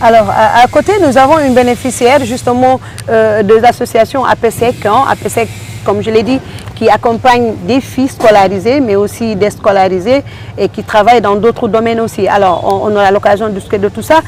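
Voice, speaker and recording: A woman's voice: on the high side, steady tone, brisk, a little mellow, slight background noise. A woman hosting a panel interview, talking with frequent hesitations and fillers. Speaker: radio show host.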